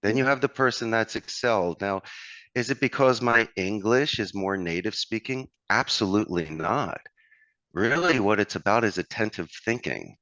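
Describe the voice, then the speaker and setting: Deep-voiced man with a Philly accent. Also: normal speed, sharp tone, dry recording, lo-fi sound. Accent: Philadelphia. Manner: expansive, motivational, addresses host by name. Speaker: call center owner, guest. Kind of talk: conversational interview.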